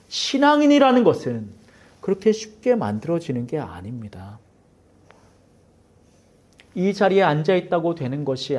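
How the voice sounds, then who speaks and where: A man's voice: a little high, warm tone. A man from Seoul preaching a sermon as pastor of his church. He preaches dramatically, acting out quoted voices and sometimes dropping to a near whisper. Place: church sanctuary.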